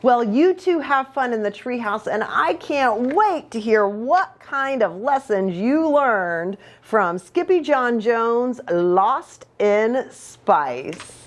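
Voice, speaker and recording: A woman pastor reading a children's story aloud, expressive and dramatic, speaking directly to children. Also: on the high side, takes it slowly, hardly any pauses.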